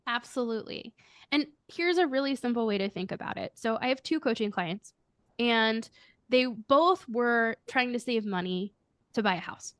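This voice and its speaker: Woman, high girlish voice, earnest, reflective, dry close sound. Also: lively intonation, normal speed, a bit bright, clean tone, no echo. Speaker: guest, coach and firm leader. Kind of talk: podcast interview answers.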